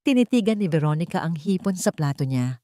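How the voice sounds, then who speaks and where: Low-pitched Filipino woman narrating drama, dry sound. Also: sing-song, brisk, mellow, no echo. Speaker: audiobook narrator. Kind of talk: dramatic scripted first-person story narration.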